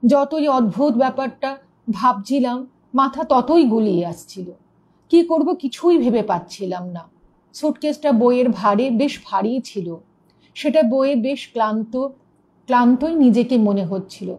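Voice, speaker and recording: A woman narrating a ghost story in an expressive, suspenseful storytelling manner. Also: a little high, a little slow. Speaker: story reader.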